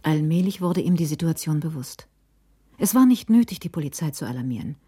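A woman narrating a scripted German radio crime drama in a measured, literary delivery. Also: deep voice, lively intonation, mellow, breathy edge.

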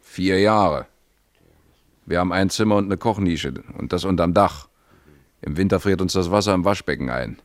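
An actor playing an earnest, hesitant schoolteacher in a radio drama, apologetic yet doggedly insistent. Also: male voice, low voice, normal speed, close to an everyday voice. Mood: anxious, earnest. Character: earnest, hesitant male schoolteacher pleading his case, doggedly polite. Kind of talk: 1970s German radio drama acting.

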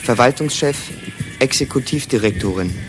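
An actor as a detached male narrator with a medium-pitched voice announces scenes and stage directions. It is scripted radio drama, with music playing clearly behind him. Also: lively intonation, moderate pace, lightly acted.

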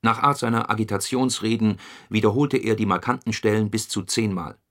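A man's voice: fairly low pitch, fairly even intonation, a little fast, a bit bright. A man reading scripted material as an announcer in a radio documentary, formal and official in manner.

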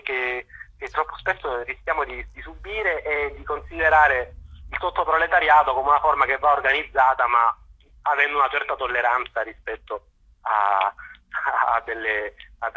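A man speaking as a journalist guest, analysing in a halting way, searching for words and restarting phrases, over a phone call to a radio show. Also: medium pitch, sharp tone, breathy edge. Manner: halting, searching for words with repeated false starts. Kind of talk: spoken political and historical analysis in an interview.

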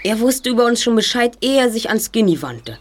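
A woman's voice: fairly high pitch, sing-song, brisk, slight background noise. A woman playing a confident boy detective in a German audio drama, articulate and formal in delivery. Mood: deducing. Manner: articulate, formal, self-assured. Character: confident, well-spoken boy detective, leader of a trio.

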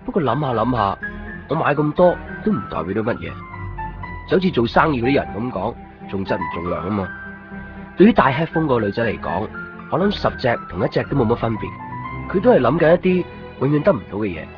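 A man's voice: medium pitch, big pitch swings, quick pace, natural voice. An actor playing an introspective, solitary young man with a Hong Kong Cantonese accent, wry and understated in a radio drama. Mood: wistful. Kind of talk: radio drama monologue and dialogue. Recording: dull radio broadcast with music underneath.

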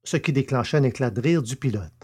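Mid-pitched male audio fiction narrator. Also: animated, fast talker, darkish tone, husky.

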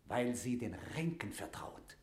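An actor playing a stern, suspicious jailer knight in a classical radio drama, declaiming gravely in verse. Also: male voice, mid-range voice, lively intonation, a little slow, close to an everyday voice. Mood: accusing. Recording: roomy, echoing radio-play sound.

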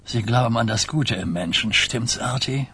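A man playing an old, dignified mafia godfather in a radio crime drama, weary and grave, speaking in a measured, husky voice. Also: medium pitch, pushed and bright, full character voice. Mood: wry, grave. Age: older.

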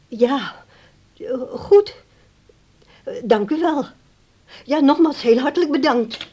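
An actress playing an anxious, dutiful grown daughter in a radio play, speaking carefully and hesitantly. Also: female voice, a little high, warm tone, exaggerated voice. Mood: polite, worried.

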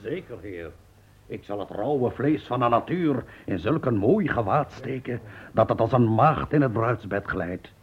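An actor in a radio play playing a superstitious, proud sculptor with a husky voice and a flowery, dramatic way of speaking. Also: male voice, mid-range voice, expressive, measured pace. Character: florid male sculptor, proud but superstitiously fearful. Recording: dull old radio recording.

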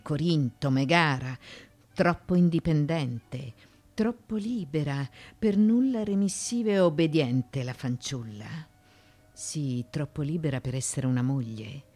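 An actress playing a proud, defiant foreign courtesan of ancient Athens in a radio drama, with a deliberate, declamatory manner. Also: female voice, sits low, heavily acted. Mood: bitter, scornful. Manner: deliberate, declamatory, with sudden outbursts. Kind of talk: theatrical radio drama monologue.

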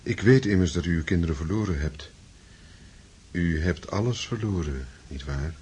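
Sinister soft-soaping villain, low-voiced, patronising and measured, radio play with faint noise. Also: male voice, expressive. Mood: quietly taunting. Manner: measured, patronising, mock-kindly. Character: sinister, falsely soothing man, quietly menacing. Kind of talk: radio drama acting.

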